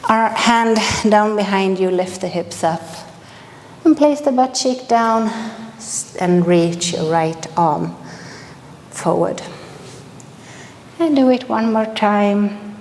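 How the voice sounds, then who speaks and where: A woman from Sweden with a Swedish accent, calmly guiding a movement class with unhurried instructions and frequent pauses. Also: medium pitch, big-room sound, a little hiss or hum. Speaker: movement teacher.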